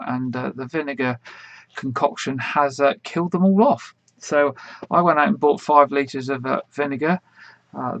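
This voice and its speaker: A man hosting an online quiz in a casual, rambling way, full of fillers, with a slightly croaky voice. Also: medium pitch, fairly bright tone, no echo. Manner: chatty, rambling, many fillers and restarts. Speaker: quiz host.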